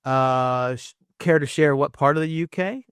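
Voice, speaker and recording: A man's voice: a little high, a little slow, a bit bright, smooth, no echo, studio quality. A man in his sixties hosting a casual podcast interview, friendly and rambling, with plenty of fillers and restarts. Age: 60s. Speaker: podcast host.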